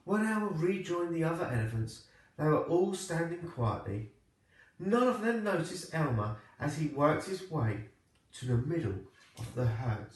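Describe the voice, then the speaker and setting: A man reading a children's storybook aloud like a primary teacher, with expressive, deliberate phrasing. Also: a little high, slight background noise. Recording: echoey room, distant microphone.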